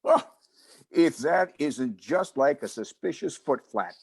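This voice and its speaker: A man playing a teasing, sardonic homicide inspector with a husky voice, bantering mock-dramatically in an old-time radio style. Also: mid-range voice, animated, slow pace, full character voice. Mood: teasing, mock-hurt.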